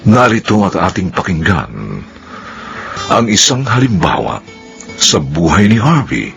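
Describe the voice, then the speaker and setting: Brief: male Filipino radio announcer, low gravelly voice, sweeping intonation, dramatic scripted delivery. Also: wildly swooping pitch, muffled recording. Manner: theatrical, measured, emphatic. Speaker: narrator and announcer. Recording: radio broadcast over loud music. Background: dramatic musical score.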